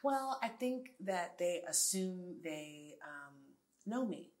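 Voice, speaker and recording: A woman in her forties with a low-pitched voice speaks as an interview guest. She talks earnestly and emphatically, often restarting her sentences and adding asides. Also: lively intonation, slow, a little mellow. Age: 40s. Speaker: guest, tech entrepreneur. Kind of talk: conversational interview.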